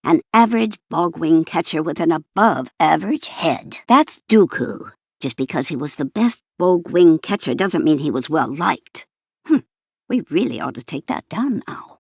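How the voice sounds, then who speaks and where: A woman's voice: sits low, darkish tone, breathy edge, dry recording, studio quality, full character voice. An actress voicing a prim, bookish, fussy and wry elderly female Jedi archivist in a video game, speaking formally and theatrically. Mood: wistful, wry. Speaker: game character voice actor. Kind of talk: scripted video game character lines.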